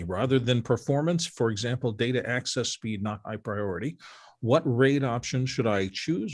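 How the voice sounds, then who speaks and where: A man reading viewer questions aloud to a panel in a plain, steady way. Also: mid-range voice, dry recording, clean studio sound.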